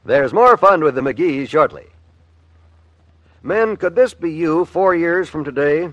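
A man's voice: on the high side, unhurried, a bit bright, highs cut off, soft background music. A man with an American accent reading a scripted 1950s radio recruitment ad in an emphatic, declamatory announcer style.

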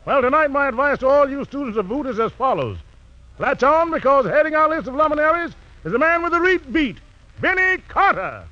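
A man's voice: very high-pitched, big pitch swings, a little fast, sharp tone. A man hosting an old radio show as master of ceremonies, with flowery, grandiose jive introductions. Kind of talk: 1940s jive radio emceeing. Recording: noisy, dull old radio broadcast, audience. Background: crowd and noise.

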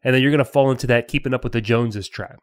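A man co-hosting a personal finance podcast, relaxed and conversational, with a teasing streak. He explains ideas with lively jumps in emphasis. His voice sounds close and dry, with no room around it. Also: medium pitch, racing, clean tone, no echo. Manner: playful, teasing, animated. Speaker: podcast co-host. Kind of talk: casual financial podcast chat.

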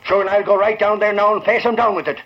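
An actor playing a hot-tempered, blustering Irish newspaper reporter in 1940s radio style. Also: male voice, high voice, steady tone, fast. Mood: indignant. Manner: blustering, indignant, emphatic. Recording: muffled old radio recording, crowd murmur.

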